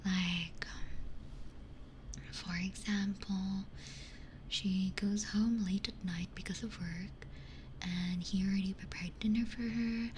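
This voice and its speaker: A woman's voice: mid-range voice, steady tone, slight background noise. Whispering woman doing ASMR chat. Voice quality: whispered, breathy, mostly unvoiced. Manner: hushed, unhurried conversational musing with pauses. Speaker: ASMR streamer, host. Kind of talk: ASMR, chatting with live chat. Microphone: close binaural ASMR microphone.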